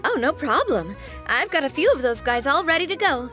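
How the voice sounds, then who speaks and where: A woman's voice: high voice, big pitch swings, brisk, pushed and bright, soft background music, exaggerated voice. An actress playing a fiery, fiercely loyal young woman boss in a video game, with a dramatic, sassy, confident manner. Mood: upbeat.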